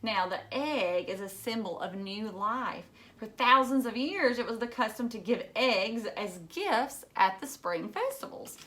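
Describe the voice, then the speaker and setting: A woman's voice: fairly high pitch, expressive, unhurried, few pauses, a bit bright. Woman reading a picture book to children. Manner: explains simply, addressing young listeners directly. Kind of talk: read-aloud storybook narration with chatty asides.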